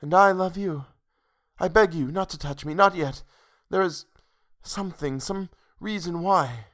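A man voicing a tender, mournful young ghost woman in love, speaking in a hushed, pleading, halting way for a story. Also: fairly low pitch, voice pushed up, close to an everyday voice. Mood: gentle, pleading.

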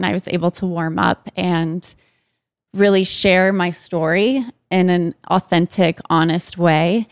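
Slow careful woman guest, lots of ums, smooth low-ish voice. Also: dry recording. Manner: hesitant, many pauses and ums. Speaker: interview guest. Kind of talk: candid personal interview.

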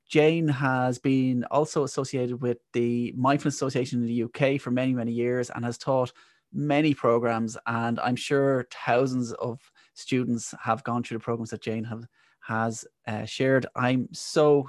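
A man's voice: medium pitch, steady tone, normal speed, dry recording. Male podcast host, effusive, dry close-miked sound. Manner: warm, effusive, enthusiastic welcomes. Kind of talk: podcast introduction and interview.

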